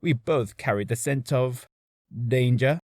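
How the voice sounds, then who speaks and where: A man's voice: medium pitch, a little slow, darkish tone, dry recording, studio quality. A man voicing an obsequious, self-important hotel bellboy with a theatrical, fawning manner for a character reel. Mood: dramatic, confiding.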